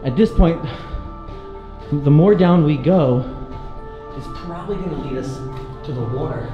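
A young man in his twenties talking excitedly to a handheld camera as he explores an abandoned sea fort, thinking aloud. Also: on the high side, a little slow, phone-quality sound, over music. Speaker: urban explorer vlogger. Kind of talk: casual handheld vlog narration. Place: inside an abandoned sea fort, concrete corridors and rooftop.

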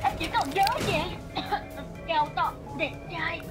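A woman voicing a female robot character in a dubbed animated series, heard as quiet playback. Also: very high voice, a little slow, faint music behind. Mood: agitated.

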